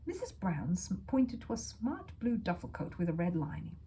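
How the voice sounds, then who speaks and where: A woman reading a children's story aloud as an expressive narrator. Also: on the low side, moderate pace, a little hiss or hum.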